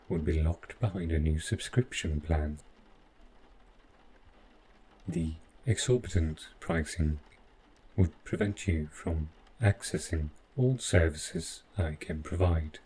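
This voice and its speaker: Man voicing a slow, deep, possessive robot butler. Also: lively intonation, darkish tone, a little hiss or hum, full character voice, rough recording. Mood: calm, explanatory. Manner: formal, measured, stilted politeness. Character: overprotective male robot butler gone rogue, calmly possessive. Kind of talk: scripted audio roleplay addressed to the listener.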